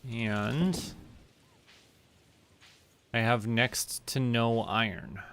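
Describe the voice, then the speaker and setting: A man giving casual gameplay commentary, rambling and relaxed as he talks to himself. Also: medium pitch, very slow, clear, resonant tone. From Canada. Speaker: streamer.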